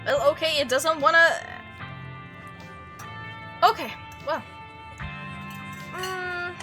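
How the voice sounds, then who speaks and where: High, expressive woman narrating a visual novel. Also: extremely slow. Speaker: gaming video host. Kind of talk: visual novel narration with casual play commentary. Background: game music under the voice.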